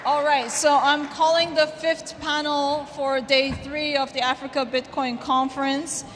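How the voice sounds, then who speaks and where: Woman mc calling people on stage, high voice, echoey hall. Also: flat delivery, unhurried, fairly bright tone, some chatter behind. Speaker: conference host calling panels on stage. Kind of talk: casual stage announcements. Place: large conference hall on stage. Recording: echoey hall, noticeable background noise.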